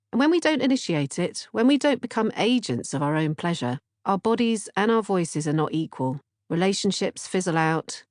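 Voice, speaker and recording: A woman's voice: fairly low pitch, darkish tone, dry recording, studio quality. A woman narrating an audiobook from a script. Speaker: author-narrator reading audiobook, podcast co-host.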